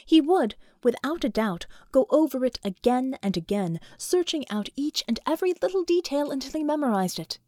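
Higher-pitched woman narrating fiction, dry sound. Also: expressive, normal speed, hardly any pauses, mellow, no echo. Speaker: audiobook narrator.

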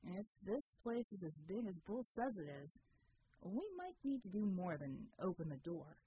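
A woman's voice: medium pitch, very animated, moderate pace, warm tone, slightly rough, dry recording, pitched below the performer's own voice, poor audio. Woman as a muttering, wry male operative. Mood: dry, focused. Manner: muttering, matter-of-fact.

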